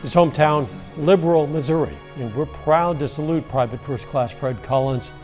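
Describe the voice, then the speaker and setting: A man reading a local evening newscast as anchor, in a steady, measured delivery with a slightly strained voice. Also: fairly high pitch, faint music behind. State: losing his voice, coughing at times.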